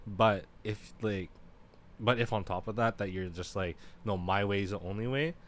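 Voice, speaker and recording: A man's voice: fairly low pitch, moderate pace, fairly bright tone. A young man co-hosting a casual podcast, talking in a laid-back, slangy way and swearing freely. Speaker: podcast co-host. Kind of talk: casual chat.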